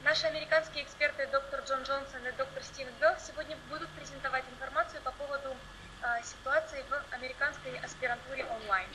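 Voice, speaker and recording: High-voiced woman moderating, noisy recording. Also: steady tone, quick pace. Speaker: seminar moderator. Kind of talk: formal seminar hosting. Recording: noisy seminar room audio.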